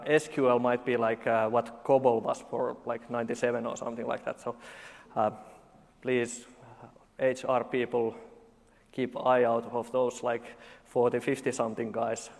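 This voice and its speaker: A man from Finland in his forties with a Finnish accent, giving a conference talk full of dry, deadpan jokes and halting fillers. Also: mid-range voice. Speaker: conference speaker. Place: large conference hall. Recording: long hall reverb.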